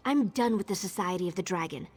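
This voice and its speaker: An actress as a sardonic, guarded younger sister, music behind. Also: female voice, on the low side, expressive, fast, a little mellow, lightly acted. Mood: wary, sardonic. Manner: sarcastic, guarded. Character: younger sister, jaded ex-cultist, bitter and sardonic. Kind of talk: video game dialogue.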